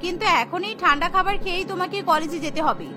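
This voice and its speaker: A woman's voice: very high-pitched, expressive, fast. A woman voicing a stubborn, defensive young housewife who makes excuses, in a cartoon. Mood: unbothered, excusing. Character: young village-born wife, stubborn, excuse-making daughter-in-law. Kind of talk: Bengali cartoon voice acting. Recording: music behind the voice.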